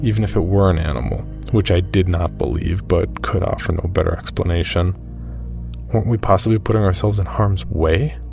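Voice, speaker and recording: A man playing an uneasy cave explorer, recounting his expedition in measured first-person horror narration. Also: sits low, gravelly. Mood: reflective, uneasy. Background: music.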